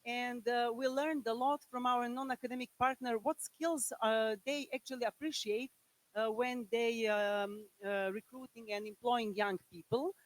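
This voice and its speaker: Serbian-accented woman, halting conference speaker. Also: on the high side, steady tone, poor audio. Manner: halting, searching for words in a second language. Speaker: university professor on a conference panel. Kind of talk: conference panel presentation.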